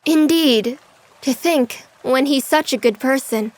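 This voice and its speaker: A woman voicing a composed, gently teasing young woman in an amateur manga dub. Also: high-pitched, slow. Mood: playful, calm.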